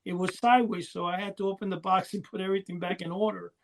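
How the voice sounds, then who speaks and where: A man's voice: sits high, level tone, fast, vocal fry. A man talking as a podcast co-host, reminiscing casually in a chatty, rambling way full of small details. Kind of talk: casual rambling reminiscence about old toys.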